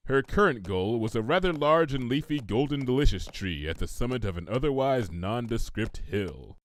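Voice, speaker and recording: Animated male story narrator. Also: medium pitch, normal speed. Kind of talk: expressive scripted story narration.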